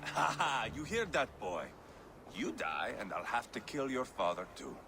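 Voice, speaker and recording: An actor playing a bloodthirsty, mocking Viking warrior in a video game. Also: male voice, mid-range voice, sing-song, unhurried, creaky, background noise. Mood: menacing. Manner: mocking, swaggering, savage. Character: bloodthirsty, taunting Viking warrior, a grown man. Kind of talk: dramatic video game acting.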